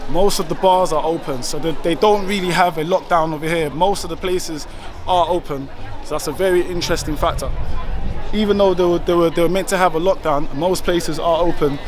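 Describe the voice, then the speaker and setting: A man's voice: a little high, fairly quick. A man from London with a London accent, chatting casually as a travel vlogger and street interviewer, informal, familiar and often repeating himself. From London, England. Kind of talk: casual vlog chat. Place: outdoors on a city street. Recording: noisy street, traffic and crowd noise.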